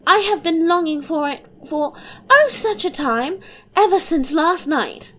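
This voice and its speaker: A woman voicing a giddy, whimsical young princess who talks in high, excited bursts. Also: unhurried, full character voice.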